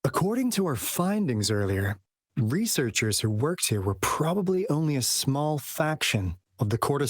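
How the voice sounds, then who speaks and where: A man's voice: medium pitch, dry recording, studio quality. A man voicing a confident, casual young agent in a video game, explaining things in a conversational way. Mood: thoughtful. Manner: conversational, expository, breezy. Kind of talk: video game dialogue acting.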